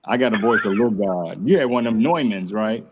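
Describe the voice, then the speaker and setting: A man's voice: on the low side, fairly quick, background noise. A man hosting a casual podcast, talking in a laid-back, grateful way and laughing often. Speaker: podcast host. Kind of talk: casual chat.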